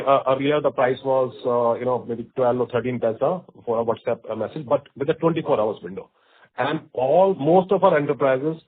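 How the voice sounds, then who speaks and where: A man with an Indian accent and a husky voice, an executive explaining on an earnings call and often checking with short tags. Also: mid-range voice. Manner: explanatory, checks with right and okay. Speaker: company executive. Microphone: conference call line. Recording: thin phone-line sound.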